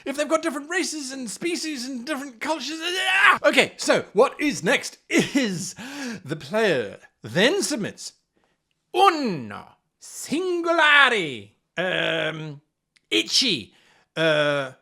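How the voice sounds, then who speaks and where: A man's voice: very high-pitched, very animated, slow pace, creaky, pushed and bright. A man presenting tabletop role-playing game advice in a theatrical, playful manner with sarcastic asides. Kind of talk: animated explainer on tabletop role-playing games.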